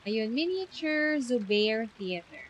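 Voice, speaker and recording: A woman's voice: on the high side, lively intonation, takes it slowly, a little hiss or hum. A woman with a Filipino accent, a native Tagalog speaker, reacting casually as she streams a story game. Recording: faint game music behind.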